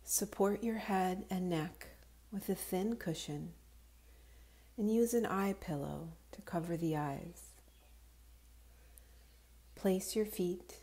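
A woman guiding a relaxation meditation in a calm, soothing, unhurried manner, her voice soft with breathy edges. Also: fairly low pitch. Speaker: yoga nidra guide.

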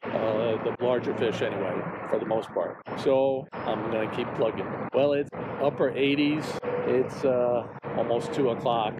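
A man's voice: mid-range voice, very noisy. Folksy older man vlogging outdoors. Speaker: fishing channel host. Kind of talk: casual outdoor vlog talk. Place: outdoors on a small boat on a lake.

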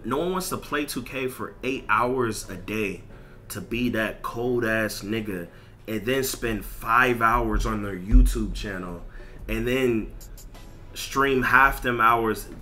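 Bright-voiced man ranting on a stream. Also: medium pitch. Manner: blunt, emphatic, repetitive, slangy, profane. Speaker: gaming streamer. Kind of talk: casual livestream rant over gameplay.

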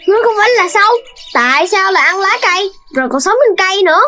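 Young cartoon pup: piping childlike voice, excitable and curious, music playing behind. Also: very high-pitched, rapid-fire. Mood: cross. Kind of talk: animated children's cartoon dubbing.

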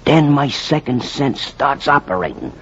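An actor playing an excitable Brooklyn fan spinning a tall tale in slangy 1940s radio style, with a Brooklyn accent. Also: male voice, mid-range voice, a little slow. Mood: excited, boastful. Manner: colorful slangy working-class storyteller. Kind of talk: 1940s radio acting, verse-like storytelling. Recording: muffled, faintly noisy 1940s radio recording.

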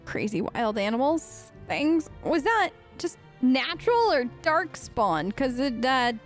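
A woman's voice: high-pitched, very animated, takes it slowly, soft background music. A woman doing casual, improvised role-play commentary as a let's play host, conversational, hesitant and often questioning.

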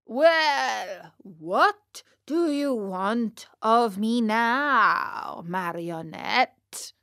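A woman voicing a huge bearded puppet master in a children's storybook, gruff and bullying yet soft-hearted, with loud, commanding delivery. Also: slow pace, dry recording, studio quality. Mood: stern. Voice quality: hoarse, booming.

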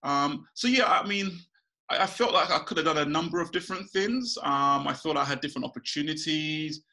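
A bright, gravelly man from Ghana talks on a video call from a small room at home. His voice sits a little high for a man. He is relaxed and chatty, laughs often and restarts his sentences as he goes. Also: fairly quick. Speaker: guest, small business owner. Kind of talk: casual interview over video call. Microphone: video call webcam.

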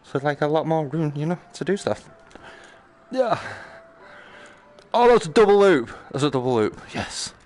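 A man's voice: on the high side, big pitch swings, moderate pace, pauses often, darkish tone, soft background music. A man giving casual live game commentary, slangy and sweary, muttering to himself and breaking into exclamations. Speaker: game streamer.

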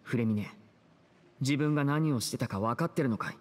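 A man playing a composed teenage older brother in an anime-style game, measured, serious and questioning, with music behind. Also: mid-range voice, close to an everyday voice. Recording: studio voice over game music. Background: background music.

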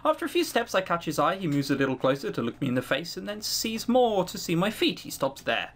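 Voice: mid-range voice, sing-song, quick pace, a bit bright. A let's player reading a visual novel aloud, with theatrical delivery and teasing, exclaiming asides. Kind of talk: game narration with casual commentary.

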